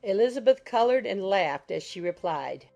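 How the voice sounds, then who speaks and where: A woman's voice: medium pitch, lively intonation, normal speed, vocal fry, mellow. Woman, audiobook narrator, mid-range voice, literary storytelling, classic novel prose, faint music behind.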